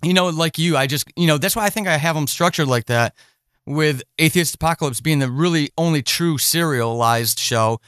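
Male interviewer, fairly high voice, earnest and conversational, close dry recording. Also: moderate pace, fairly bright tone, clear, resonant tone, no room sound. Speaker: podcast host and interviewer. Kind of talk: casual chat interview.

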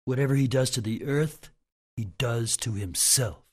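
A man playing a collected sixty-year-old shaman-like sage in a radio drama, grave and measured, with a husky voice. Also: mid-range voice, natural voice. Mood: grave, wise. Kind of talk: radio drama, solemn oratory.

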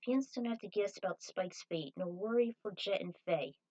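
A woman's voice: medium pitch, moderate pace, darkish tone, muffled recording. A woman narrating her own fan fiction as an amateur author reading aloud.

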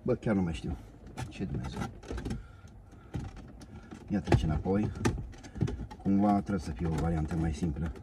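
A man's voice: low voice, pauses often, noisy background. A middle-aged man in a parked car, muttering to himself and coaxing the parts while he works on the dashboard. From Romania. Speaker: DIY car vlogger. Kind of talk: casual self-talk while working. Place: inside a parked car, outdoors.

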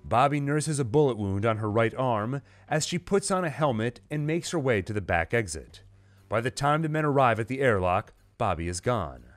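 A man's voice: medium pitch, lively intonation, moderate pace, no room sound. A man narrating a scripted, dramatized story recap in the manner of a host. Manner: acts out quoted dialogue within narration. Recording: music under the voice. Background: dramatic music and sound effects.

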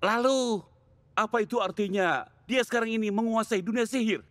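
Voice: mid-range voice, moderate pace, fairly bright tone, no room sound, close to an everyday voice. A performer voicing a naive, grumbling villainous car on guard duty in a children's cartoon. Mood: eager surprise.

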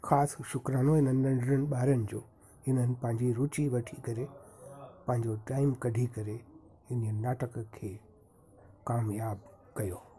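Guy narrating a promo video, real dark mellow voice, steady and formal. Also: mid-range voice, unhurried, pauses often, husky. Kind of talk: scripted narration.

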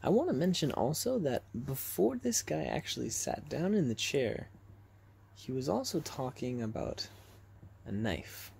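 Young man, fry-edged voice, casual vlog storytelling, animated reenactments, phone in a bedroom, slight background noise. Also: mid-range voice, measured pace. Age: young adult. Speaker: vlogger telling personal stories. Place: small bedroom, lying in bed. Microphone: phone at arm's length.

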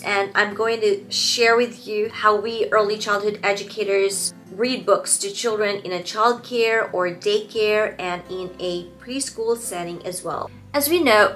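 Female educator, 30s, medium pitch, orderly scripted explainer delivery, music underneath. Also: fairly bright tone. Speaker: early childhood educator giving parenting tips. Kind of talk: scripted instructional presentation to camera.

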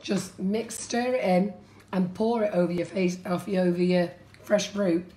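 A woman with a husky voice hosting a live baking stream, chatty and brisk. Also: lowish voice, warm tone. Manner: laughs a lot, brisk and chatty. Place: large home-style kitchen. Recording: echoey room, kitchen clatter.

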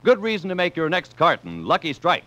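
An American man delivering a 1950s radio advertising pitch as commercial announcer. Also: huge pitch swings, a little fast, a bit bright. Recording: muffled vintage radio broadcast.

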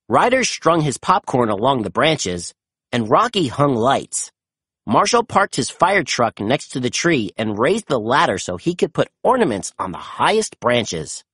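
A storybook narrator reading aloud to children in an expressive, animated way with dramatic emphasis. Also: medium pitch, slightly rough, no echo, clean studio sound.

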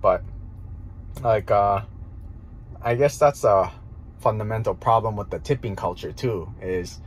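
Slow, deep-voiced man musing in a car. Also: slight background noise, poor audio. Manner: slow, thinking aloud with many fillers. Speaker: restaurant owner and chef. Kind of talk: casual monologue. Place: parked car at night. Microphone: phone camera held close.

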